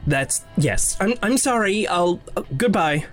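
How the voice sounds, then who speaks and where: Nervous polite young dude in a fiction podcast, apologetic, slow, music underneath. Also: male voice, high-pitched, expressive. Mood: flustered. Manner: hesitant, halting, apologetic. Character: shy, nervous, awkward polite young man. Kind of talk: audio drama acting.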